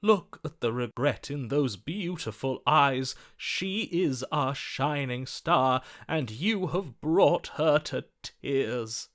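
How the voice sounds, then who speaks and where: A gallant storybook romantic for a video game, hopelessly smitten and grandly theatrical. His pitch sits in the middle for a man, and he lingers unhurriedly over florid, swooning phrases, tender and melodramatic. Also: expressive, takes it slowly, few pauses, a bit bright. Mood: tender, adoring. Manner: florid, swooning, dramatic delivery.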